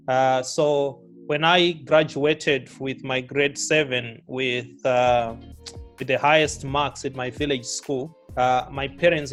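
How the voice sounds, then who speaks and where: Reflective man telling his life story. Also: mid-range voice. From Zimbabwe. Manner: reflective storytelling, many fillers and restarts. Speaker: guest, author and businessman. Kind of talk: relaxed life-story interview.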